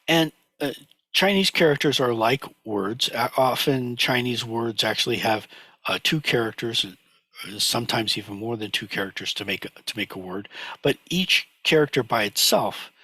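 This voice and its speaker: Slightly hoarse man lecturing over slides. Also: lowish voice, sharp tone, dry recording. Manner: halting, many fillers and restarts. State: recovering from a cold, slightly hoarse. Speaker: teacher of a sutra class.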